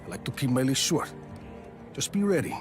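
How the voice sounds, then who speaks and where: An actor playing a rugged, cocky middle-aged action hero in a game cutscene, laconic and wry. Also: male voice, mid-range voice, very animated, fairly quick, soft background music, lightly acted. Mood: cocky, determined. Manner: laconic, wry, clipped phrases. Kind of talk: video game cutscene acting.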